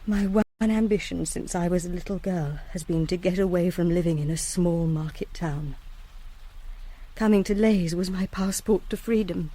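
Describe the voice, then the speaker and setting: Actress doing young student in a radio play, soft mellow voice, earnest n guilty, halting, well spoken. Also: female voice, lowish voice, close to an everyday voice. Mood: solemn resolve. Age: young adult. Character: earnest, guilt-ridden young woman student pleading for reprieve. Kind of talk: radio drama acting.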